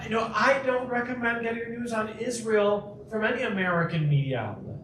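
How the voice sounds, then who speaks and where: Speaker at a community talk, high-pitched man, energetic, roomy distant sound. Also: noisy background. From Chicago. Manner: energetic, quick asides, frequent restarts. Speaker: guest speaker. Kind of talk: public talk with audience Q&A. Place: community center hall. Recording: echoey hall, mic at a distance.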